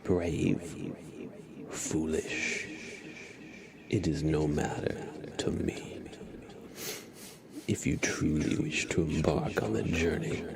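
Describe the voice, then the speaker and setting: A man playing an ominous dark-side sorcerer in a whispered ASMR roleplay, slow and solemn. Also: extremely low pitch, gravelly, full character voice.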